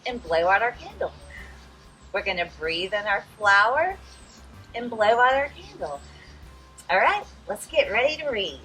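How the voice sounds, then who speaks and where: A woman reading a picture book aloud outdoors to young children, expressive and addressing them directly as friends. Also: fairly high pitch, low-quality recording. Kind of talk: animated read-aloud storytelling for young children. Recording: some background noise, outdoor ambience.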